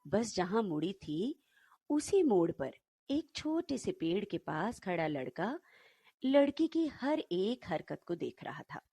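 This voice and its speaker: A woman narrating a short story in an unhurried, expressive, dramatic storytelling manner. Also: mid-range voice, slightly rough, dry recording.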